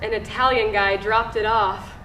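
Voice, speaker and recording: A woman's voice: medium pitch, steady tone, normal speed, natural voice. An actress playing a skeptical, naive thirty-year-old woman tourist in a stage play, questioning and incredulous. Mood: curious. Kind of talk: live stage play acting. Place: theatre stage, indoors. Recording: echoey theatre room, faint noise.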